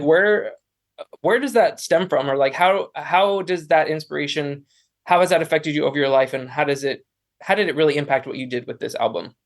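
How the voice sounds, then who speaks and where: A male interviewer with a fairly high voice speaks informally to his guest. His delivery is conversational and enthusiastic, in the style of a casual podcast chat.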